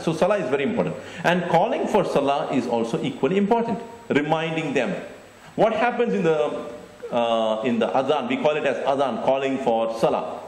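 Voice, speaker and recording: A middle-aged man giving a deliberate, explanatory Islamic lecture into a handheld microphone, often repeating phrases. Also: medium pitch, darkish tone. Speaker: Islamic preacher. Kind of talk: religious lecture. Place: indoor stage with curtain backdrop. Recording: echoey room.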